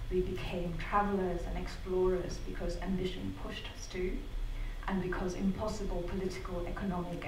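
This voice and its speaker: A middle-aged woman from Zambia giving a prepared talk in a theatre, measured and articulate with wry asides. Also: on the low side, darkish tone, slight background noise. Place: theatre hall. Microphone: venue sound picked up from the back of the hall. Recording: far-off roomy hall capture.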